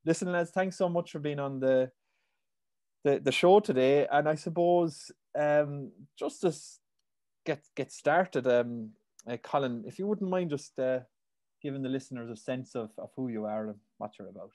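A man's voice: medium pitch, normal speed, darkish tone. A man, hosting a casual interview over a video call, often repeating words as he asks. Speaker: host. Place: home room. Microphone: video call with earbuds.

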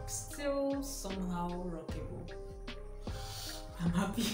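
A woman's voice: on the low side, animated, takes it slowly. A young woman with a Nigerian accent, chatting casually to a close camera in a small room, laughing often and teasing her own doubts. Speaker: vlogger. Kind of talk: casual hair vlog. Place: small room indoors, rain outside. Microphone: camera close, at arm's length. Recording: echoey room with background music.

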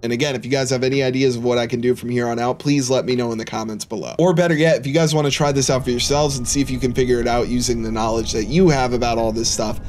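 A man's voice: mid-range voice, steady tone, quick pace, few pauses, darkish tone, slight background noise. A man in his thirties giving casual, conversational commentary to his viewers as a gaming YouTuber. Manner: informal, conversational. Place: small indoor room, seated at a desk. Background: music.

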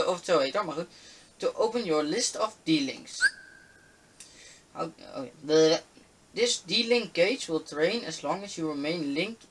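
A gamer giving casual let's play commentary and reading game text aloud. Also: medium pitch. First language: Dutch. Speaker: gaming video host. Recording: loud game music behind voice. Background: game music.

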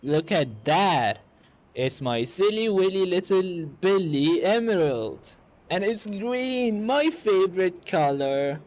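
Male performer for a goofy game bat lady; high, animated register, comic fan-dub delivery. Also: slow pace. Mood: gleeful. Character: silly, vain female bat treasure hunter. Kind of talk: improvised comedy fan dub.

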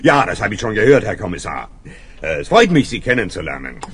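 An actor playing an easygoing kangaroo in a children's audio play, hesitating with little ahs and hms. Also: male voice, lowish voice, big pitch swings, a little fast, creaky, slight background noise. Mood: polite. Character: easygoing male kangaroo, leader of animal friends.

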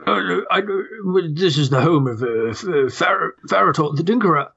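A man's voice: fairly high pitch, expressive, normal speed, vocal fry, pushed and bright, slightly rough, lo-fi sound, heavily acted. A man voicing a grumpy, flustered old toad who leads a small town, hesitant and stammering, with a strained throaty voice.

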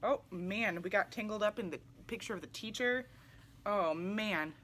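A young adult woman reading a children's picture book aloud in an animated way, putting questions to young listeners. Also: medium pitch, normal speed, a little hiss or hum. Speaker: read-aloud storyteller for children. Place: small indoor room, seated in armchair.

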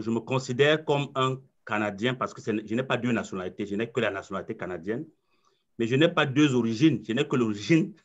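A man's voice: medium pitch, fairly bright tone. A man in his forties from Cameroon, a coach giving an online presentation in an earnest, self-assured way, often repeating words as he thinks. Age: 40s. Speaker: coach and trainer. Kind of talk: webinar presentation. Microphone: video call. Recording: slightly muffled video call audio.

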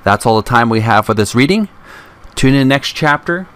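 A man's voice: medium pitch, lively intonation, moderate pace, fairly bright tone, clear, resonant tone. A man hosting a channel, reading a book aloud and addressing listeners in a polite, courteous way, sometimes stumbling and correcting himself. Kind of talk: read-aloud narration and channel talk. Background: music.

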